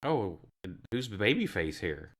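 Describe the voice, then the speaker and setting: A male game master at a tabletop table on a stream, sick and low on energy. His voice sits very deep, worn down by illness, and he talks in a tired way. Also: very animated, slow pace, fairly bright tone. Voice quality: deepened by illness. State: sick, subdued.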